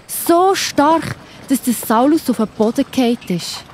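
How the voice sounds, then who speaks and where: A woman with a Swiss German accent narrating a Bible story for children in a gentle, explaining manner. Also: sits high, animated, a little slow, a little hiss or hum.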